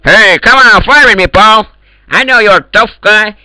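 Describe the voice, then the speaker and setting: High bright-voiced guy with an eastern european accent, slow and boastful, trolling in voice chat. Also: clean tone, no echo, rough recording. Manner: broken English, boastful, trolling. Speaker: voice chat player. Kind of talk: prank call banter in game voice chat.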